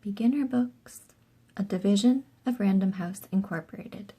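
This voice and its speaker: A woman reading a picture book aloud to young children as an expressive storyteller. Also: mid-range voice, moderate pace, husky.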